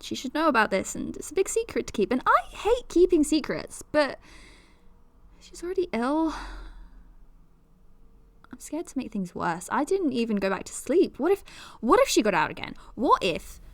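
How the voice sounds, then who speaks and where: A woman's voice: sits high, very animated, moderate pace, fairly bright tone, no room sound, full character voice. An actress playing a young woman podcaster who talks in a confiding, nervous, self-deprecating way. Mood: anxious. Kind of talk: audio drama monologue.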